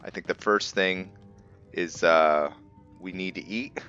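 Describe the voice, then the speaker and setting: Deep-voiced man, halting gameplay commentary. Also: fairly even intonation, pushed and bright, smooth, muffled recording. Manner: halting, thinking aloud. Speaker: host of a video game roleplay series. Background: game music.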